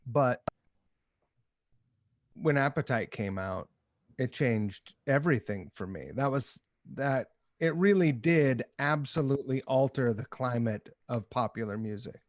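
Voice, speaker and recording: A man's voice: medium pitch, lively intonation, measured pace, no echo. A middle-aged man chatting casually as a podcast host into a close studio microphone. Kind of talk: casual chat. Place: small home studio, indoors. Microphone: close broadcast mic on boom arm, headphones.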